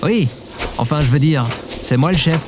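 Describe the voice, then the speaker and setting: A man's voice: fairly high pitch, moderate pace, background noise, natural voice. An actor playing a confident, good-natured young male quest leader in a French audio adventure, casual in manner. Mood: cocky.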